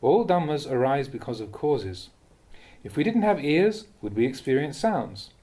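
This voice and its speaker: A man reading a Buddhist teaching aloud in a measured, contemplative manner, posing questions to the listener as he goes. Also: medium pitch, big pitch swings, mellow. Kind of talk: read-aloud dharma talk.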